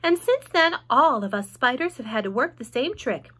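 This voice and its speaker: A woman voicing a clever, bold female spider who is matter-of-fact and lively, with sudden high exclamations and hushed asides. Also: moderate pace, heavily acted. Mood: reasoning.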